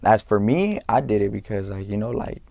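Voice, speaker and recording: A young man from California, a guest in an interview, talking in a laid-back, halting way with street slang and lots of fillers. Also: lowish voice, lively intonation, creaky, dark tone, no echo. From Norwalk, California. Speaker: guest, rapper. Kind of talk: casual interview talk.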